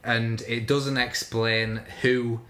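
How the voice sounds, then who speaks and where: A man's voice: mid-range voice, level tone, slow, fairly bright tone. A man co-hosting a casual panel discussion, talking in a critical, incredulous manner. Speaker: podcast co-host. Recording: roomy echo.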